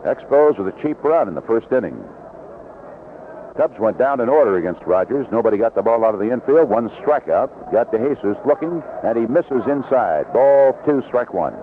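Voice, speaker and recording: A man's voice: mid-range voice, expressive, normal speed. An American man calling baseball play-by-play on 1970s radio in clipped, short fragments. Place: outdoor ballpark broadcast booth. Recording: dull, noisy vintage AM radio broadcast.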